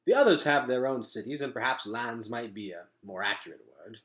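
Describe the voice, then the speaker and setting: A man's voice: mid-range voice, animated, fairly quick, a bit bright, natural voice. A man voicing a dignified, courteous male elder in a storybook, with formal, old-fashioned phrasing. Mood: stately, informative.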